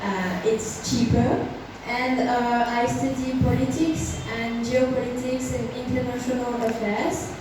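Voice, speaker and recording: A twenty-year-old woman from France introduces herself in hesitant English full of fillers. Her voice is a little high. It sounds distant in a very echoey room with noise. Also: level tone, a little slow. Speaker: politics student.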